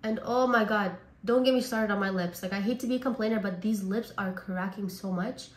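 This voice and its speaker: A woman from Canada, hoarse and raspy from a cold, chatting casually as a beauty vlogger through a makeup tutorial. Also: medium pitch, fairly quick, reverberant. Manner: chatty, says like and honestly a lot.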